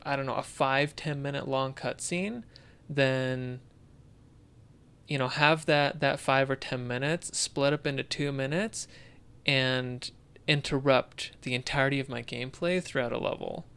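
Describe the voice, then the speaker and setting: Clear-voiced man chatting casually. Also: mid-range voice, fairly even intonation, measured pace, a bit bright. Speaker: podcast co-host. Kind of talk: casual discussion of video games.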